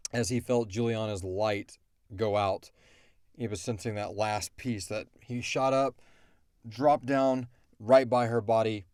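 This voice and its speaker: Low-pitched man chatting casually, close and dry. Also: darkish tone, clear, resonant tone, dry recording. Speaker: host and creator of an audio drama podcast. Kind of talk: casual chat answering listener questions.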